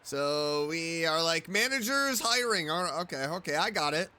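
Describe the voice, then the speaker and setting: A woman's voice: sits low, expressive, moderate pace, sharp tone. A woman doing casual let's play commentary, playful and chatty, reacting aloud to game characters. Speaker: streamer playing and reacting to a game. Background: game music.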